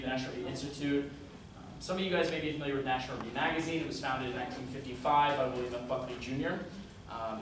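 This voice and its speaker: Fast-talking young man in an echoey room. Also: medium pitch, noisy background. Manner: brisk, upbeat, filler-laden. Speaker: outreach officer. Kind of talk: introductory remarks. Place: university classroom. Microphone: far camera. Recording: echoey classroom from far off.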